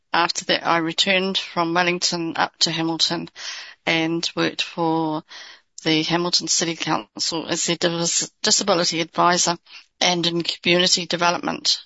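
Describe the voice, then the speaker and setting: A woman, a board member seeking re-election, introducing herself in a steady, formal way. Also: lowish voice, gravelly, dry recording, highs cut off. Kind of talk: spoken introduction at an online meeting.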